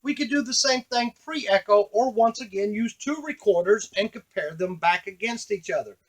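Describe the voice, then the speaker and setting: A man's voice: high-pitched, moderate pace, poor audio. A man giving a casual, unscripted tutorial on a device, explaining step by step to the viewer as he works. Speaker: device demonstrator.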